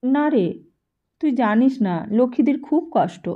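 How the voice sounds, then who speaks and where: A woman voicing a meek, earnest teenage boy who speaks gently and hesitantly in an audiobook story. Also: fairly high pitch, lively intonation, measured pace, phone-quality sound. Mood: sympathetic.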